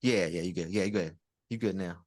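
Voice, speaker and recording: A man's voice: low-pitched, brisk, a little mellow, no echo, clean studio sound. A man hosting a podcast in casual interview chat, laid back and full of fillers as he hypes up his guests. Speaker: podcast host.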